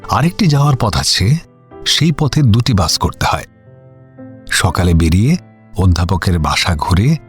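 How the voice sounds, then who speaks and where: Male radio story narrator, low animated voice, scripted literary read over music. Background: background music.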